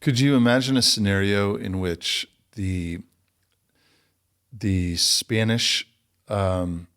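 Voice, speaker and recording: Low-voiced guy hosting a podcast, hesitant, lots of ums while he asks stuff. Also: slow pace, fairly bright tone, clear, resonant tone. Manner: hesitant, searching for words with ums. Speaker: podcast host. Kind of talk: interview questions.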